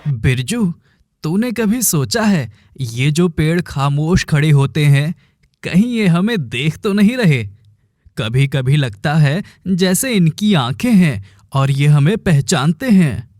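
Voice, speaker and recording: Man playing an earnest, slow-spoken young village woodcutter. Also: fairly high pitch, very animated, darkish tone, dry recording, studio quality. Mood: anxious. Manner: earnest, brooding. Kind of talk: melodramatic cartoon dialogue.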